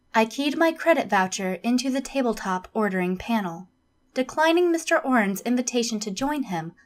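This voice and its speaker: Actress as female alien researcher officer, audio drama. Logical, measured, unemotional, raised pitch, faint recording noise. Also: lightly acted. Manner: measured, formal, clinical, unemotional. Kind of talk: audio drama interview and log narration.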